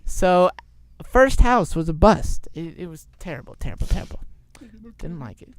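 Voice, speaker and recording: A young man telling an improvised story in a halting, informal way. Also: fairly high pitch, big pitch swings, dry recording. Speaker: storyteller, show host.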